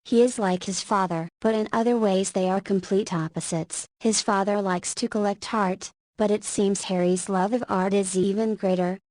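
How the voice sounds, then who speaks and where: Visual novel role: actress as a coy, admiring woman of forty, medium pitch, dry studio-like sound. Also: steady tone, moderate pace, few pauses, a little mellow, dry recording, natural voice. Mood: fond, reflective.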